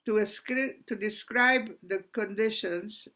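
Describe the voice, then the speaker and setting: A woman, giving her personal testimony as a survivor in a slow, halting, earnest way, pausing often and sometimes restarting her sentences. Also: medium pitch, fairly bright tone. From Hungary. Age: 90s. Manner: loses her place and restarts. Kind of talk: personal testimony on a live stream.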